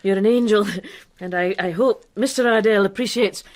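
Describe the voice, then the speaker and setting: An actress in a period radio drama playing a woman who passes as a charming, flustered male doctor, with halting, courtly speech. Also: mid-range voice, natural voice. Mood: smoothly gallant. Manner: halting, stammering, gallant, ingratiating.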